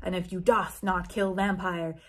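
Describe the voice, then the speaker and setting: A woman voicing an imperious evil dark wizard who speaks in pompous, commanding mock-archaic phrases in a comic fanfiction reading. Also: fairly low pitch, little pitch movement, moderate pace, lightly acted. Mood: cruel.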